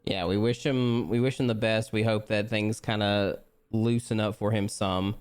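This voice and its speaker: A man's voice: lowish voice, fairly even intonation, moderate pace, clean tone. A man co-hosting a gaming podcast, explaining things in a laid-back, conversational way. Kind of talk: casual chat during a game let's play. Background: music playing behind the voice.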